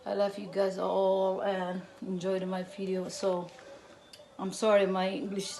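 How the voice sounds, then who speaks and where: A woman from Somalia with a Somali accent, hosting a casual home cooking demonstration and chatting in halting English mixed with Somali. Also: medium pitch, completely flat delivery, warm tone, a little hiss or hum. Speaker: cooking channel host.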